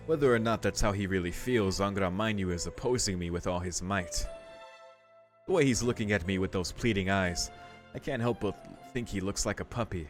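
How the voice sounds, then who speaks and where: A man with a lowish voice reads a visual novel aloud as a let's play host, dropping casual comments. Soft game music sits behind him. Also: fairly quick. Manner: casual, reacting. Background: faint game music.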